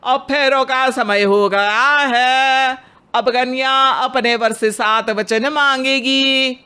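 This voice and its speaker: A cheerful wedding priest, voiced by a woman, ceremonious in a moral tale. The delivery is slow and bright, with a raised pitch. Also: fairly even intonation, clear, resonant tone, exaggerated voice. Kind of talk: dramatized Hindi moral story.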